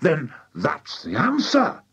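A man voicing an excitable, blustering, impatient father in a children's story, sputtering with excitement. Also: a little high, slow, slightly rough, muffled recording, voice pushed up, full character voice. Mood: exasperated.

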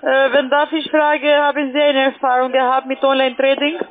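A woman's voice: high voice, flat delivery, fairly quick, soft background music. A woman, a non-native speaker, making a call-centre sales call over a thin, tinny phone line. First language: not German.

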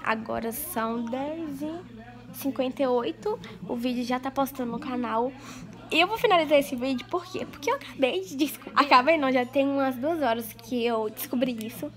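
A woman's voice: sits high, moderate pace. A young woman from Brazil, chatting to her phone camera at home in a gushing vlog style and often calling out to her viewers, her nose stuffy from a cold. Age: young adult. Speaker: vlogger. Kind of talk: casual vlog chat to camera. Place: home rooms indoors. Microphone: phone camera at arm's length.

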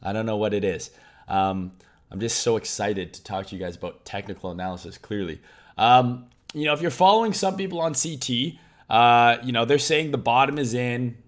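A young man with a hoarse voice, talking loudly and casually on a crypto trading live stream, swearing freely. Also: medium pitch, normal speed. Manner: loud, excitable, swears casually.

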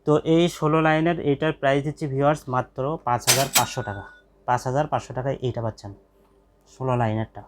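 A man with a Bangladeshi accent gives an informal product demonstration to viewers, pausing hesitantly and repeating key phrases. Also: mid-range voice, fairly even intonation, slight background noise. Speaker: shop presenter selling office equipment.

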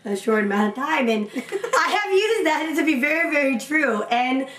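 A bubbly, chatty young woman in her twenties, hosting the interview with a lot of laughter. Her voice sits a touch high. She sounds a little distant from the mic, in a roomy home space. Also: expressive, normal speed, fairly bright tone. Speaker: host, singing student. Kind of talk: casual interview. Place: indoor home room lined with CD shelves.

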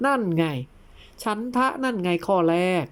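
A woman voicing a patient, probing male teacher in an audio tale, who explains things in a deliberate, didactic way. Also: medium pitch, animated, smooth, lightly acted. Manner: deliberate, didactic, breaks words into syllables. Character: patient, probing old-fashioned male teacher instructing his pupil.

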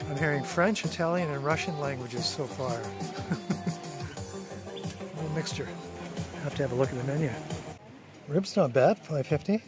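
A man narrates his day on the beach in a loose, wry way, often chuckling at his own asides. His voice sits a little high. Music plays clearly beneath him. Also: moderate pace, long pauses, rough recording. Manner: chatty, wry, chuckling to himself. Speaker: travel vlogger. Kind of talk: casual travel narration.

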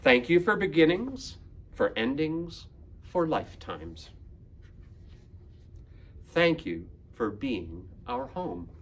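A man in his fifties reading a picture book aloud to children in a deliberate manner. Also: medium pitch, sing-song, husky. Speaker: school librarian, guest reader. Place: school library room, indoors.

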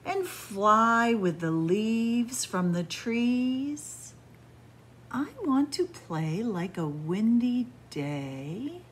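A middle-aged woman librarian reading a picture book aloud to young children in a library, in a sing-song way with soft hushed asides. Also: a little high, lively intonation, very slow, slight background noise. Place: library with bookshelves, indoors.

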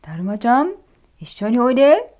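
A woman voicing a gruff, jolly father thunder spirit in a storybook reading, speaking in a hearty, friendly way. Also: on the high side, big pitch swings, fairly quick, darkish tone. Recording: faint music behind.

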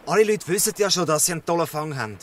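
A man's voice: fairly high pitch, animated, rapid-fire. An actor with a Swiss German accent playing an outspoken boy in a dialect audio drama. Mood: indignant.

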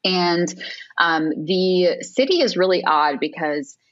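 A woman's voice: lowish voice, lively intonation, takes it slowly, fairly bright tone, dry recording. A young woman from Lebanon, speaking as a guest on a video call, chatty, with many fillers and asides. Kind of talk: casual video call interview. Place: home room with window. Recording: dull lo-fi video call audio.

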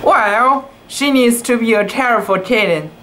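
A young man voicing a haughty, excitable Chinese palace lady in a storybook, exclaiming theatrically. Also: very high-pitched, a little slow, a little hiss or hum, voice pushed up, exaggerated voice. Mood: indignant.

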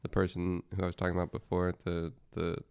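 Deep gravelly-voiced young man. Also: monotone, fast, a little mellow. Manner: rambling and profane, trails off mid-thought. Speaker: podcast co-host. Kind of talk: casual chat.

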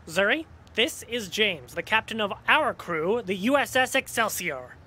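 An actor playing an excitable, money-minded member of a sci-fi fan crew in an audio drama. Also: mid-range voice, measured pace, slight background noise. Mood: matter-of-fact.

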